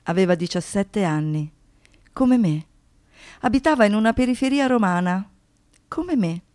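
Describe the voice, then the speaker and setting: A woman giving scripted dramatic narration as a monologue, in measured, short rhythmic phrases. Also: medium pitch, animated, a little mellow.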